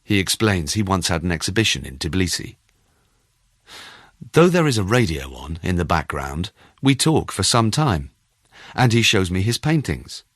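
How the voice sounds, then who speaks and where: A man with a low-pitched voice reads literary first-person fiction. His storytelling is measured and expressive, and the sound is dry, with very little room on it. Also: dry recording. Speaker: audiobook narrator.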